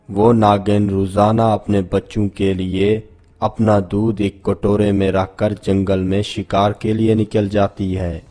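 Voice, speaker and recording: A man voicing an old village healer who gives calm, careful advice. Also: lowish voice. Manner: calm, advising.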